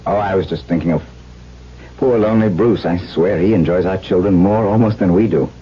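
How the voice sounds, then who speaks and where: Low-voiced brooding baron, old radio drama. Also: male voice, a little fast, breathy edge. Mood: tender. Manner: earnest, formal, melancholy. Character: brooding, haunted aristocratic husband, a baron. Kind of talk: 1970s radio drama acting. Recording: dull vintage radio broadcast, music behind.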